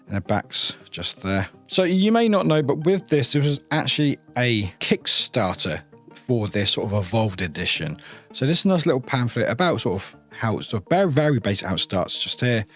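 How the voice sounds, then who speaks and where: A man presenting an unboxing in a casual, chatty way, often trailing into short informal asides. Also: medium pitch. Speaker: reviewer hosting an unboxing channel.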